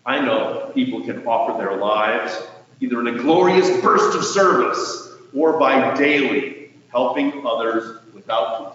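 A man preaching a sermon as a pastor, speaking in a measured, earnest way with emphatic swells and soft endings to phrases. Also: mid-range voice. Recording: distant mic in echoey hall, dull, faintly noisy.

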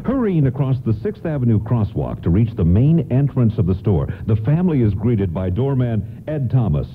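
A man's voice: medium pitch, sing-song, normal speed, slight background noise. A man working as a radio announcer, reading polished scripted narration and promos. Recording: narrow-band radio broadcast over loud music. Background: prominent music.

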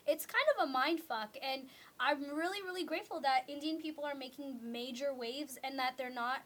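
High-voiced chatty young woman. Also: moderate pace, fairly bright tone. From Canada. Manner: chatty, lots of "like" and restarts. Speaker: co-host. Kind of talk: casual chat.

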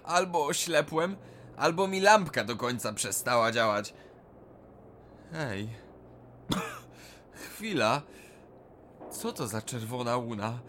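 An actor playing a nervous young miner lost in the dark. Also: male voice, medium pitch, expressive, slow, pauses often, slight background noise. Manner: nervous, wondering.

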